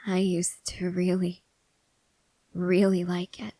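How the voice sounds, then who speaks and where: A woman playing a haunted, restless young woman soldier in an intimate ASMR character roleplay, speaking haltingly and broodingly with pauses. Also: on the low side, completely flat delivery, warm tone, no echo. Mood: wistful. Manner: slow, halting, brooding delivery with long pauses.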